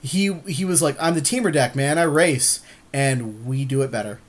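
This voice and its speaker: A man's voice: medium pitch, normal speed. A man co-hosting a podcast, giving casual card game commentary in informal, agreeable chatter with his partner. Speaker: podcast co-host.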